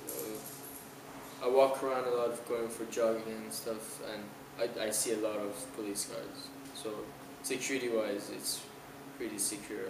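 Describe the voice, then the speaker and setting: A young man from Iran answering questions in a casual outdoor interview, hesitant, with many restarts and fillers. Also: on the low side. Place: outdoors on an island, city nearby. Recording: roomy, noisy outdoor camera audio from a distance.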